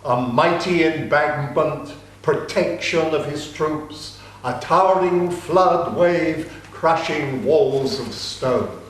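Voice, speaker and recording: A man giving a slow, measured, solemn reading of an ancient epic. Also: a little high, slight background noise. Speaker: reader. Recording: very echoey room.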